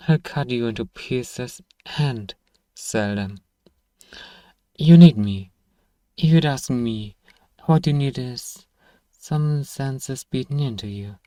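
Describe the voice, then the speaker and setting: A man's voice: medium pitch, dark tone, no echo, natural voice. A man playing an irritable, sarcastic fantasy apothecary in an ASMR roleplay, stern and given to exasperated sighs. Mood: exasperated, restrained, then impatient, scornful. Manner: stern, sardonic, exasperated sighing.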